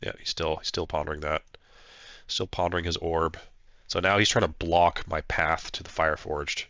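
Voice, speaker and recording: A man's voice: a bass voice, little pitch movement, normal speed, sharp tone, no room sound, lo-fi sound. A man getting over a flu, his nose stuffed up, casually narrating his own tabletop battle as a player with plenty of fillers. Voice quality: nasal, stuffed-up. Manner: thinking aloud, hesitant with fillers. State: congested from a flu. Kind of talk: casual play-by-play commentary.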